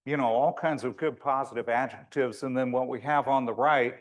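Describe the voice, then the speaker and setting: A man with a big voice giving an informal, wry slide lecture as a landscape architect, often pausing to find his words. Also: mid-range voice, fairly even intonation.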